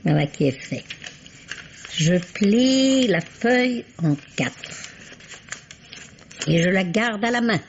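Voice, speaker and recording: A muffled old radio recording of an astute lady sleuth. The voice is high and husky, unhurried and deliberate, with a dry, playful assurance suited to a classic mystery play. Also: animated, a little hiss or hum, heavily acted. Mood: playful, triumphant. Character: astute, dryly assured female amateur sleuth. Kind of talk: vintage French radio mystery acting.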